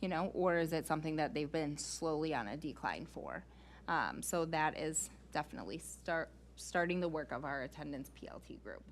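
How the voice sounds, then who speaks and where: Female school staffer, slightly low register, explaining earnestly at a meeting. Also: animated, normal speed, a little hiss or hum. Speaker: school staff member presenting to a committee. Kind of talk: informal explanatory meeting presentation.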